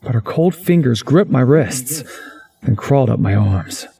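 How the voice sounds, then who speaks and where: A man's voice: mid-range voice, expressive, unhurried, gravelly, a crowd in the background. A man voicing a haunted, wry young man who narrates a visual novel game in a dramatic, introspective way. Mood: tense, tender.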